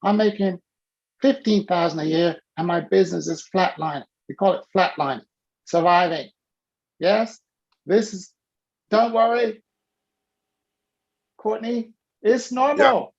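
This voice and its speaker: A man coaching a participant on a video call, animated and teasing as he prods them by name. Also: high-pitched, measured pace, long pauses, highs cut off. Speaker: business coach.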